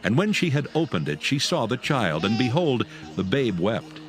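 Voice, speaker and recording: A man's voice: medium pitch, sing-song, normal speed. A man narrating scripture as storyteller in a dramatized audio production. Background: music.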